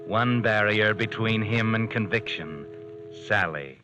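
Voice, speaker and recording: A man's voice: fairly low pitch, unhurried, natural voice. A man doing a sly, taunting radio narrator. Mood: sardonic. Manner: insinuating, taunting. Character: ominous all-knowing male narrator, mocking. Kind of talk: 1940s radio acting. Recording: muffled old radio recording with music.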